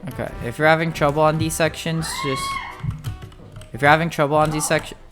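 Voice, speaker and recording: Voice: medium pitch, level tone, fairly quick. A gamer giving a casual, chatty video game walkthrough, talking informally and straight to viewers. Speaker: gamer making a tutorial. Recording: music playing behind the voice. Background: music.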